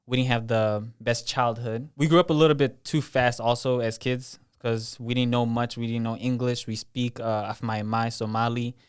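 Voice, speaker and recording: A man's voice: mid-range voice, flat delivery, normal speed, hardly any pauses, smooth. A man in his twenties from Kenya, telling his life story as an interview guest in a plain, matter-of-fact way. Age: 20s.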